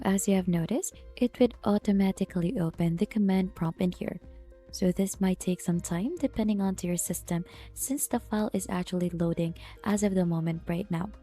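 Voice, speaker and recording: A woman narrating a step-by-step software tutorial in an instructional way, with repetitive phrasing. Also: medium pitch, fairly even intonation, brisk. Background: background music.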